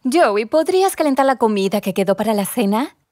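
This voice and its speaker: A woman playing an exasperated working mother in a children's cartoon, with an emphatic, expressive delivery. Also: fairly high pitch, brisk, no room sound, studio quality. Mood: brisk, friendly. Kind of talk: animated children's show dubbing. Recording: music behind the voice.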